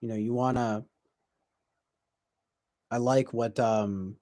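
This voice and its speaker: A man's voice: medium pitch, fairly even intonation, takes it slowly, warm tone, no room sound, studio quality. A man in his twenties chatting casually on a stream, reflective and rambling, with many fillers and restarts. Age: 20s. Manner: reads viewer comments aloud. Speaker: livestream host.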